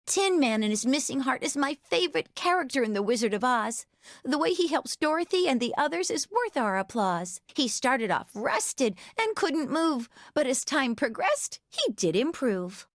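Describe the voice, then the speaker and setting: High-voiced woman reading rhymes. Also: very animated, moderate pace, no room sound, studio quality. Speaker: narrator. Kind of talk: scripted rhyming storybook narration.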